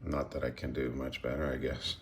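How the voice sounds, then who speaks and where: A man giving a casual, unscripted show-and-tell as a hobbyist, hesitant and halting as he thinks aloud. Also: extremely low pitch, level tone, a little hiss or hum. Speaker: radio hobbyist presenting a project.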